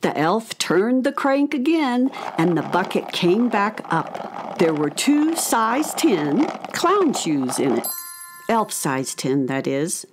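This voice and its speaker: A woman's voice: medium pitch, sing-song, slow pace, background noise. A woman narrating a scripted story for children.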